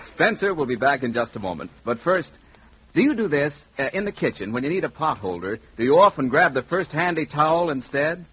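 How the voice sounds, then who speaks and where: A man's voice: a little high, big pitch swings, fairly quick, a bit bright, a faint crowd, slight background noise. An American man announcing and reading commercials on 1940s radio with an emphatic sales delivery. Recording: muffled 1940s radio recording.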